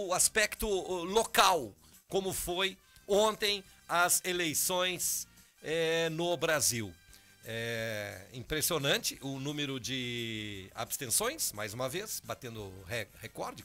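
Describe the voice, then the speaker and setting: A hoarse man, hosting a morning radio show in easygoing chat at a studio microphone. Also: on the high side, pushed and bright. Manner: chatty, easygoing, talks over cohost.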